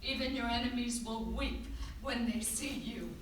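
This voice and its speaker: A woman's voice: fairly high pitch, normal speed, natural voice. Woman playing a grieving, defiant widow in echoing theater. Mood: anguished, frantic. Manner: anguished, weighty declaiming. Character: grieving, defiant wife of a fallen warrior. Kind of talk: dramatic stage reading of Greek tragedy. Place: theater stage, live reading. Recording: very echoey theater, distant, faint noise.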